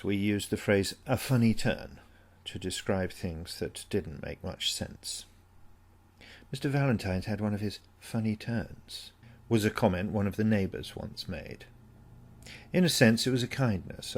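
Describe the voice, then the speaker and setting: A man reading a scripted first-person story aloud in a measured, reflective manner with deliberate phrasing. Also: fairly low pitch, lively intonation, darkish tone. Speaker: story reader.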